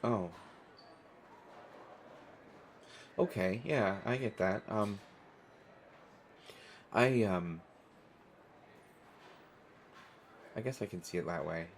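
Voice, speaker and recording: A man's voice: on the low side, unhurried, a little hiss or hum. A man playing a shy, awkward, kind stranded traveler in an audio roleplay, hesitant, stammering and apologetic. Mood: awkward, gentle.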